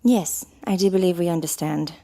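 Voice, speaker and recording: A woman's voice: fairly low pitch, normal speed, warm tone, lightly acted. A woman playing a proper, scolding sister in an audio drama, speaking in a polite, formal, admonishing way.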